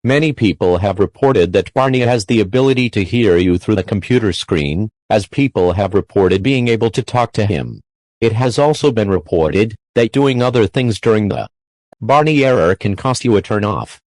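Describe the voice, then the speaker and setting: A man reading a scripted emergency alert in a flat, formal way. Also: fairly low pitch, moderate pace, no echo.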